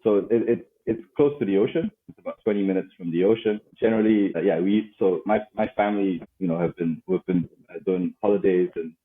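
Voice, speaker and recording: A man's voice: low voice, brisk, slightly rough. A man from Malaysia, a diving instructor, answering interview questions casually and hesitantly with many fillers and restarts. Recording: phone-like call audio.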